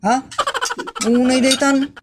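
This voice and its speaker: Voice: high voice, little pitch movement, takes it slowly, noisy background. A performer with an Ilocano accent playing an annoyed, insistent hungry customer in a comedy drama, pushy and complaining.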